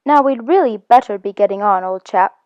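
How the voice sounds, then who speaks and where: A woman voicing a kindly male water rat who speaks gently and sympathetically, then heartily. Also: mid-range voice, brisk, dry recording, natural voice. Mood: cheerful. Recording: dry, dull lo-fi.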